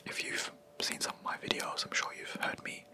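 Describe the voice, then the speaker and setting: A young adult video creator, whispering a rambling, hesitant gaming chat close to the mic in a quiet room. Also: moderate pace. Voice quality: soft unvoiced whisper throughout. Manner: rambling, hesitant, with many ums and self-corrections.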